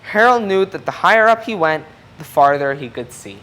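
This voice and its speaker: A reader with a mid-range voice presents a children's picture book. The delivery is expressive and explanatory, turning to young listeners with questions and asides. Some noise sits behind the voice. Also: mid-range voice, moderate pace, rough recording. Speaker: storybook reader.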